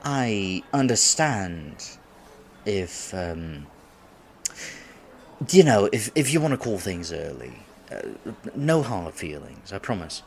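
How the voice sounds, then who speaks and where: A man's voice: deep voice, very animated, takes it slowly, vocal fry, a little mellow, a little hiss or hum, heavily acted. A man in his twenties playing a nervous, polite young silver fox kitsune who wants to seem mature, in an ASMR date roleplay, speaking formally with stammers. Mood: flustered, apologetic. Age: 20s. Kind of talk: ASMR roleplay, scripted one-sided date conversation.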